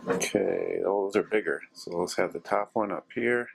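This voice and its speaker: Low, gravelly man talking through a repair. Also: moderate pace. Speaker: host of a DIY repair vlog. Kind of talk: casual hands-on tutorial talk, thinking aloud. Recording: steady machine noise in background, 3D printer. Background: 3D printer running.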